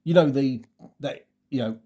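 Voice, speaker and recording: Mellow man with a fairly soft-edged tone. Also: mid-range voice, measured pace, breathy edge. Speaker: travel vlogger co-hosting a holiday review. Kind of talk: casual chat, giving tips.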